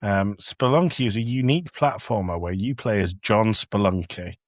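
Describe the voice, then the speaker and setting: Blunt, lowish-voiced man co-hosting. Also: moderate pace, no echo, studio quality. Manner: blunt, sweary. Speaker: podcast co-host. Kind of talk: casual chat.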